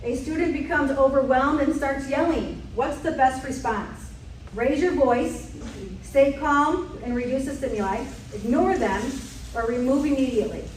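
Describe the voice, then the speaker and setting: A woman's voice: high voice, normal speed, darkish tone, slight background noise. A woman running a transport staff safety meeting, quizzing the room in a direct way. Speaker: safety trainer. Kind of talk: leading a staff safety quiz. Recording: echoey room from far off.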